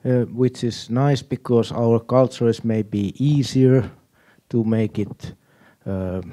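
A man from Finland with a Finnish accent, asking a question from the audience in a deliberate, halting way. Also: mid-range voice, steady tone, dark tone. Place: indoor auditorium audience.

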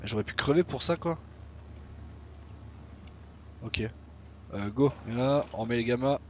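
A man's voice: mid-range voice, unhurried. Young guy rambling over his game, casual swears, talking to himself, bit of game noise behind. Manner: chatty, swears casually, talks to himself while playing. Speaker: gaming YouTuber. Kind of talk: casual gameplay commentary.

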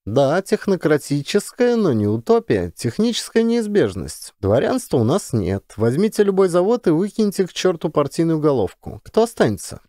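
A man's voice: fairly high pitch, big pitch swings, normal speed, hardly any pauses, warm tone, dry recording, studio quality, pitched above the performer's own voice. A man voicing a cocksure young male communist student in an audiobook, confident, insistent and emphatic. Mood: confident, defiant. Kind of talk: dramatised audiobook dialogue.

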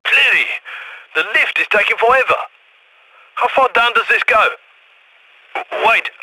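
An actor playing a breezy, chatty criminal ally over radio comms in a heist video game, talking casually. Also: male voice, very high voice, expressive, a little slow, bright, forceful tone, no room sound, full character voice, rough recording. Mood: puzzled. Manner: casual, chatty, tags questions with yeah.

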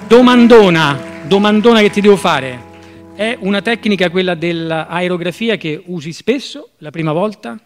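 Male awards presenter, 30s, higher-pitched voice, music underneath. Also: lively intonation, moderate pace. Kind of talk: reading jury citations on stage. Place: theatre stage. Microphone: stand microphone. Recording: music under stage microphone speech.

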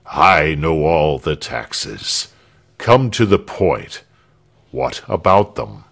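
A man's voice: a bass voice, very animated, drawn-out words, creaky, a bit bright, voice pushed down, full character voice. A man voicing a haughty, coldly menacing governor with a curt, sardonic manner in a storybook reading. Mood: impatient.

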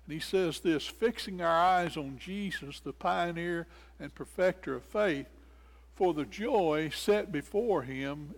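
An older man preaching an expository sermon, explaining scripture and repeating phrases for emphasis. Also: fairly high pitch. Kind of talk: sermon, expository Bible teaching. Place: indoors in a church sanctuary at a lectern. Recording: reverberant church hall.

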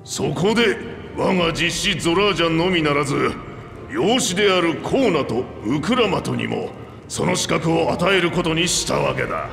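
A man playing an aging two-headed reptilian king in a video game, commanding, frank and gruff in his manner. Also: on the high side, animated, moderate pace, a bit bright. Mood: frank, wry. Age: older. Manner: gruff, regal, plain-spoken old-ruler speech. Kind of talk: Japanese video game voice acting. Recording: echoey hall reverb, background music.

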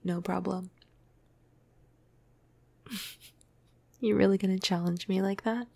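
Flirty, gentle female vampire for ASMR roleplay woman performer: breathy half-whisper, dark mellow tone, very even pitch, playful casual delivery. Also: full character voice. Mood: amused, teasing. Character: flirty, cuddly, teasing female vampire crush. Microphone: close microphone.

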